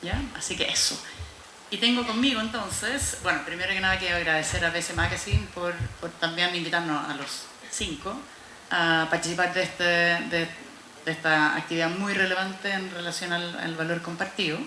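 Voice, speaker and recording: A woman's voice: lowish voice, fairly even intonation, moderate pace, sharp tone. A woman moderating a conference panel, speaking with frequent hesitations. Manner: hesitant, with fillers. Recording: echoey room with faint noise.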